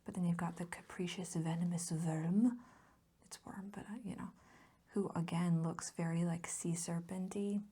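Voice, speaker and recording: Female ASMR host: hushed, dark-toned and husky, casual rambling delivery, softly enthusiastic. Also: lowish voice, fairly even intonation, normal speed. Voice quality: soft, whispery, breathy voice. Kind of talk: soft-spoken ASMR chat.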